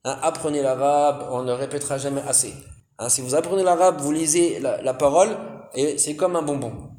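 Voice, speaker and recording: A man's voice: fairly high pitch, fairly quick, dark tone, echoey room. A man giving a religious lesson, asking his listeners questions and answering them himself, with frequent "hein" fillers and Arabic terms. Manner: addresses listeners as brothers, asks and answers own questions. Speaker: Islamic teacher. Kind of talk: lesson explaining Quran commentary.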